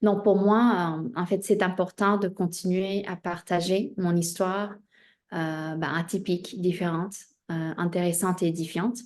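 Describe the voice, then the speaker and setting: A young woman, raised in Spain with Spanish as her mother tongue, talks about herself as a guest in an interview. Her voice sits a little on the low side, and she speaks in a measured way. She often pauses between phrases as she gathers her thoughts. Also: fairly even intonation, darkish tone. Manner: measured, with frequent hesitations. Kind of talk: self-introduction in an interview.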